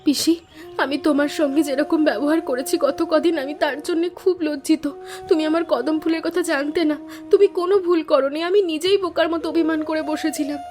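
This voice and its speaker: A woman's voice: high voice, steady tone, brisk, darkish tone, lightly acted. A woman voicing a sensitive, apologetic young niece in a cartoon story, earnest, pleading and sentimental. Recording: music under the voice.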